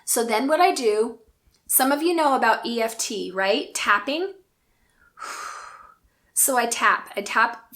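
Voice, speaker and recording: A woman in her forties telling a personal story in an earnest, reflective and gentle way. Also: fairly high pitch. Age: 40s. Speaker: speaker and coach.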